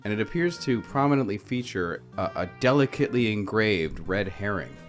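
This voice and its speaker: Low-voiced male game master over music. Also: animated, fairly quick. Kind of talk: narrating a tabletop horror game.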